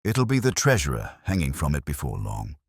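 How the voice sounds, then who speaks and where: A man's voice: rumbling low, huge pitch swings, fairly quick, gravelly, slightly rough, no echo, studio quality, full character voice. A man voicing an eager, deferential police sergeant, earnest and questioning, in a detective story. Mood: worried.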